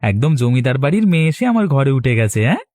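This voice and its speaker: A poor but proud young husband in a cartoon, acted by a man. His medium-pitched voice swings animatedly and sounds close and dry, with a cheerful temper. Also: very animated, quick pace, darkish tone, no echo, lightly acted. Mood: proud delight. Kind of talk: Bengali moral cartoon voice acting.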